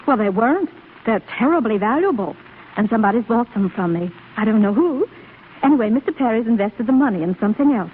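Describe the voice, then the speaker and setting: An actress playing a gentle blind woman, brave yet yearning and despairing, in a 1930s radio drama. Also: on the high side, lively intonation, fast, close to an everyday voice. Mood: bright, excited. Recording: scratchy, phone-like 1930s radio broadcast recording.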